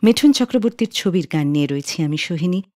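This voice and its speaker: A woman's voice: on the low side, expressive, fast talker, darkish tone. A woman hosting a radio show, telling scripted stories and introducing songs in a studio. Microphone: close studio microphone, dry sound. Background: faint music.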